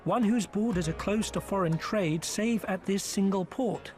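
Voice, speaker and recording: An actor playing a smooth-talking, foppish male merchant agent in a game cutscene, speaking in a theatrical, flowery, ingratiating way. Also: high-pitched, moderate pace, darkish tone, lightly acted. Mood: explaining. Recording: with background music.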